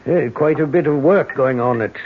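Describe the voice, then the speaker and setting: An actor playing a courteous, hesitant older gentleman with a British accent in a radio mystery. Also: on the high side, normal speed, background noise, close to an everyday voice. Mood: probing. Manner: hesitant, genteel, probing. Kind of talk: radio mystery drama acting. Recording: tinny, narrow-band old radio broadcast.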